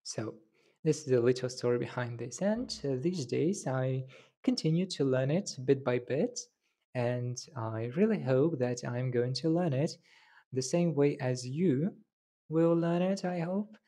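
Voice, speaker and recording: Young man, native Tatar speaker, language teacher vlog, unhurried, fillers and restarts, dark warm voice. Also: mid-range voice. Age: young adult. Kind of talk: casual video talk. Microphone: handheld lapel mic held near mouth.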